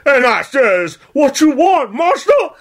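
A woman's voice: mid-range voice, sing-song, normal speed, a bit bright. A woman narrator voicing an irritable, boastful old uncle telling tall tales in rustic, ungrammatical speech for an audiobook. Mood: gleeful.

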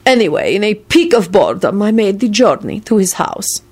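A haughty prince of an audiobook tale, acted out by a narrator. He speaks formally and imperiously, pitched up from the narration, and takes offence easily. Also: big pitch swings, a little fast, a little mellow. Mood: lofty, irritable.

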